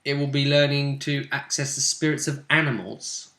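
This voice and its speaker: Bright-voiced game master, echoey room. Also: male voice, mid-range voice, fairly even intonation, normal speed. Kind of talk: casual tabletop role-playing game talk.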